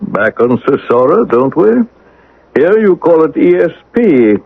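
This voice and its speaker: An actor playing a smug, unruffled alien posing as a human in a 1970s radio drama, taunting and provoking. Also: male voice, on the high side, big pitch swings, measured pace, no room sound, full character voice. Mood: taunting, unafraid. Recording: thin, narrow-band 1970s radio recording.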